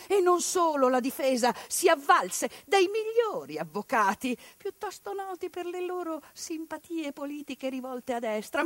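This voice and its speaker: Woman stage narrator, indignant and dramatic, fairly high voice, dry close sound. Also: no room sound. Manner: impassioned, indignant, dramatic swings between loud and hushed. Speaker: stage actress narrating a monologue. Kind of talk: theatrical civic monologue broadcast on radio.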